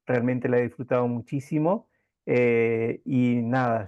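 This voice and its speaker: A man's voice: medium pitch, dark tone, no echo. A man hosting an interview in a conversational style, recorded with dull, lo-fi call-like audio. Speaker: interview host.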